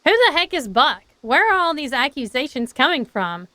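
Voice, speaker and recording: Expressive woman streamer with a clear high voice. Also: moderate pace, no echo. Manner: expressive, chatty. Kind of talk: live game commentary and dramatic read-aloud of a visual novel.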